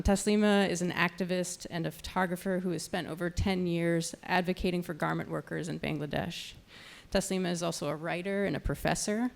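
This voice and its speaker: A woman hosting an event, giving a semi-scripted introduction of speakers with some halting stumbles over her words. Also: lowish voice, gravelly. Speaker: nonprofit programs director introducing panel speakers.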